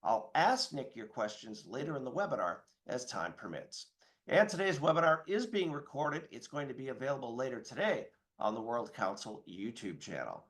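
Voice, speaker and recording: A man's voice: medium pitch, very animated, moderate pace, a bit bright. A man hosting a webinar, giving a polished, formal scripted introduction. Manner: polished, formal announcer delivery. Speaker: webinar host.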